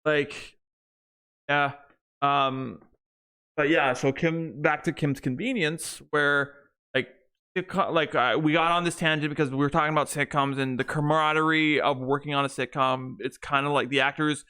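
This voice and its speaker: A man's voice: a little high, fairly even intonation, moderate pace, pushed and bright, clear, resonant tone. A young man co-hosting a podcast, chatting casually and rambling with many restarts and fillers. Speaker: podcast co-host. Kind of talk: casual chat.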